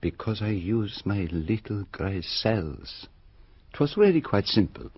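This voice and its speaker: An actor playing a self-assured Belgian detective with a French-sounding accent in a radio drama, methodically explaining a crime. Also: male voice, on the low side, animated, slow pace, husky, dry recording, full character voice. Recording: dull, roomless radio drama recording.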